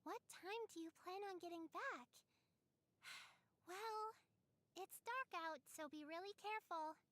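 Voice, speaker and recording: Tiny kid girl game character, super high n bright, chirpy, fussing like a little mom. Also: takes it slowly, dry recording, full character voice. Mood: caring, nagging. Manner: chirpy, motherly nagging with sighs. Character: caring, bossy little girl from a mountain village. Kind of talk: video game character voice lines.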